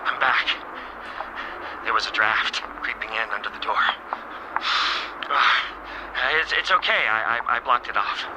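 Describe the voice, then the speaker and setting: An actor playing a terrified, obsessed man besieged by a living wind in a radio drama, halting and overwrought. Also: fairly low pitch, expressive. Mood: shaken, weary. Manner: overwrought, halting, breaking into manic laughter and cries. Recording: noisy old radio drama broadcast.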